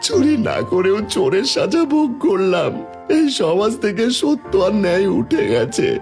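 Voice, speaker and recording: Casting: male actor as honest, downtrodden village father; high, swooping, plaintive voice for a cartoon folk tale. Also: very animated, normal speed, gravelly, a little mellow, soft background music, exaggerated voice. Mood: sorrowful. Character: honest poor village labourer, wronged father, pained and dejected. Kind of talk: Bengali fairy tale cartoon dialogue.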